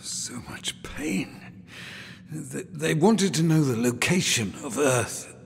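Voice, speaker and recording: An actor playing a weak, dazed older professor in pain, gravelly and faltering, in an audio drama. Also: male voice, on the high side, lively intonation, slow, big-room sound, exaggerated voice.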